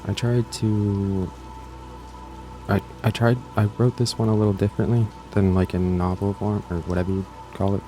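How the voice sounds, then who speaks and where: A man's voice: lowish voice, flat delivery, moderate pace, over music. A man introducing his own audio story to viewers in a casual, rambling, offhand way, repeating himself and trailing off. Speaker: creator and host of an audiobook channel.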